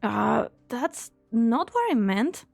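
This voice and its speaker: An earnest young female researcher, voiced by a woman, with a very high voice. She sounds anxious and expressive, sometimes hesitant, in game drama acting over faint music. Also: slow pace, vocal fry, exaggerated voice. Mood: uneasy. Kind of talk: English fan dub of a game story.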